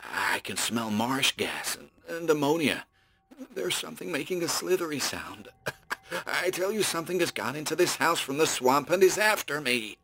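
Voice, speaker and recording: A man's voice: sing-song, moderate pace, gravelly, sharp tone, husky, heavily acted, rough recording. A man doing a panicked trapped radio announcer. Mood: terrified. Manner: frantic, pleading, rising to shrill panic. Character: terrified male radio announcer chained in a house. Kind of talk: audiobook character voice.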